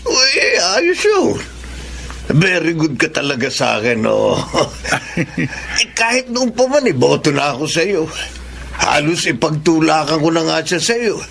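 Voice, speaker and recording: A man's voice: a little high, very animated, unhurried, gravelly, fairly bright tone, slight background noise, heavily acted. An actor playing a jovial, grasping middle-aged father in a Filipino radio drama, with a Filipino accent. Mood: jovial, pleased. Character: jovial grasping father who enjoys imported liquor.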